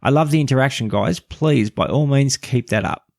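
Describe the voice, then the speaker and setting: Male podcast reviewer, creaky voice, average pitch, scripted delivery, close dry mic. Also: expressive, normal speed, darkish tone, no echo.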